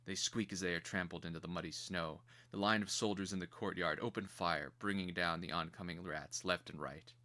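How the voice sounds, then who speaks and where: A man with a low, forceful voice narrates a dramatic screenplay. He reads deliberately and theatrically, with a grave, crisp-edged tone suited to scripted action. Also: fairly even intonation.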